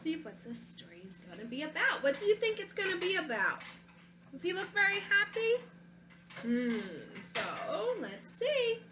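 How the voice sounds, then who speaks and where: A woman teacher reading a storybook aloud to young children, gently asking them questions between pages. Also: extremely high pitch, big pitch swings, normal speed, noisy background. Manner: gentle, asks children questions, sings a short song.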